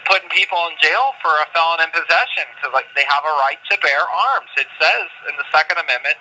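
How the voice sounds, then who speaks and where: Bright, forceful man on a phone line. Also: on the high side, animated. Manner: halting, repeats words, impassioned. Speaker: prisoner being interviewed by phone. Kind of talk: earnest, unscripted phone interview talk. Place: prison, on a telephone call. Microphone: telephone. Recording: noisy prison phone line.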